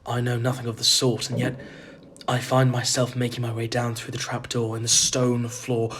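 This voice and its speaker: A man's voice: mid-range voice, completely flat delivery, moderate pace, background noise, natural voice. An actor playing an uneasy, hesitant man narrating a gothic horror audio drama in the first person. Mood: dread-filled narration. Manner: hesitant, faltering, apprehensive. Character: uneasy male narrator drawn into a sinister festival.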